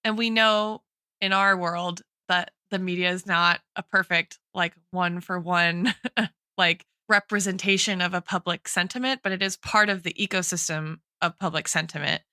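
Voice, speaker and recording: A woman with a bright, forward voice discusses books on a podcast like a thoughtful teacher. She reasons out loud, qualifying her points as she goes. The sound is dry and close. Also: lowish voice, steady tone, normal speed, clear, resonant tone, no room sound. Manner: reflective, analytical, hedging with frequent tags like "right" and "like". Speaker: podcast host and guest expert, a teacher.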